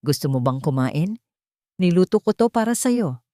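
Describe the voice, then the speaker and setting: A woman narrator plays a kindly elderly nanny in a dramatic audio story. She is patient and soothing, with a mellow tone and a dry, close sound. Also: lowish voice, animated, dry recording, close to an everyday voice. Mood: soothing, tender. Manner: soft, soothing, unhurried.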